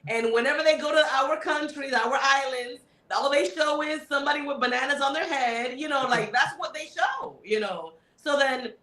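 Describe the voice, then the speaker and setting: A woman with a Miami accent speaks on a panel at a fairly high pitch. She rambles in an animated way, with plenty of fillers and trailing asides. Her voice rings out in an echoey room. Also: normal speed, few pauses. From United States. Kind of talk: casual panel discussion. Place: indoor panel event.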